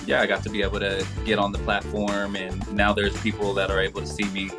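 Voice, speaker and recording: A man in his thirties with a lowish voice, talking over a video call. He speaks loosely and casually, with many fillers and restarts. Clear music plays under his voice. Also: brisk. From Sacramento, California. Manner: relaxed, rambling with fillers and restarts. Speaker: interview guest, singer. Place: indoor room.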